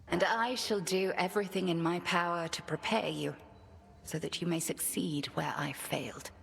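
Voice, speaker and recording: An actress playing a proud, stern witch titaness mentor in a video game, speaking in a grand, measured, archaic way. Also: lowish voice, steady tone, gravelly. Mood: grave.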